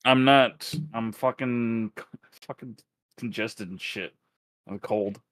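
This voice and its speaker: A man's voice: medium pitch, steady tone, takes it slowly, fairly bright tone. A man co-hosting a stream who has a cold and is congested, talking bluntly and swearing a lot. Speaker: stream co-host.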